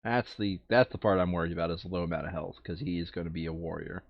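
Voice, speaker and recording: A man's voice: deep voice, quick pace, no room sound. Man thinking aloud, dry dull recording. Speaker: player in a board game playthrough video. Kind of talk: casual chat while planning a board game session.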